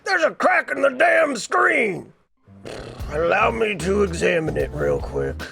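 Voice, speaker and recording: A man voicing a grumpy, bitter, muscular barkeep in an anime parody, gruff, exasperated and foul-mouthed. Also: high-pitched, huge pitch swings, unhurried, music in the background, exaggerated voice. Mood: outraged.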